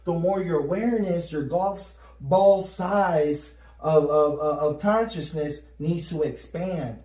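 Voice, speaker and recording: A Puerto Rican man co-hosting a casual spiritual chat, energetic and repeating words as he gets going. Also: on the high side, slow. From Puerto Rico. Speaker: podcast co-host. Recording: roomy echo, mic at a distance.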